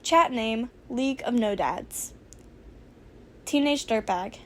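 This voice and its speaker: A woman narrates a scripted fan fiction story. Her voice sits fairly high and she takes her time. She announces each label plainly and flatly. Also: slow pace. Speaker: podfic reader.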